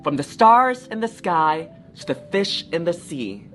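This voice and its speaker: A woman's voice: on the low side, very animated, measured pace, a bit bright, soft background music. Lady doing a loving mom from a kids book, tender n reassuring, lilting, takes her time. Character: loving, tender mother singing or speaking to her child.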